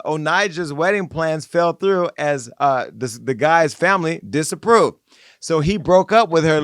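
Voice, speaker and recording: A man's voice: a little high, a bit bright, clean tone, no room sound, studio quality. A man hosting a podcast, telling a story in a laughing, gossipy way. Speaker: podcast host. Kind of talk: casual chat.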